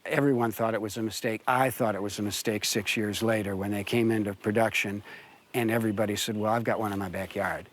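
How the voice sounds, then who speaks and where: A man's voice: on the low side, steady tone, quick pace. An older man from Detroit with an American accent, a farmer and winemaker chatting casually and reflectively about his life. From Detroit, USA. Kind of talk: casual interview chat.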